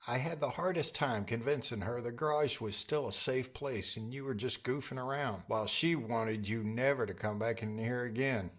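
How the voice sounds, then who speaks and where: Man acting a gentle, wistful middle-aged dad. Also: mid-range voice, normal speed, few pauses, lightly acted. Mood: amused. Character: tender, wistful father of a graduating daughter. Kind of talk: audiobook character dialogue. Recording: music under the voice.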